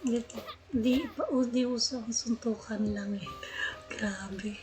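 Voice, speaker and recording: A woman's voice: on the high side, slow, music in the background. A woman with a Filipino accent chatting casually as a game streamer. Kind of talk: casual chat.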